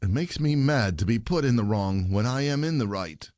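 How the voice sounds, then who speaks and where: Man as seething henpecked husband, lively swings in pitch, dry close sound, grudging, stage comedy. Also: normal speed, no echo, lightly acted. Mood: indignant. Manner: indignant, grudging. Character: aggrieved, stubborn common husband, put upon and seething. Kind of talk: classic stage comedy audio play.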